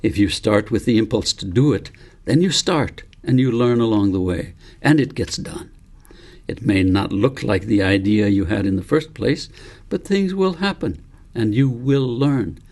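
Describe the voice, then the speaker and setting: A wise storybook elephant read by a man teaches in a low, gravelly voice. He is firm and earnest, an adamant mentor who lays out each point deliberately, with a husky grain to his speech. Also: lively intonation, a little mellow. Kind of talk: storybook character read by the author.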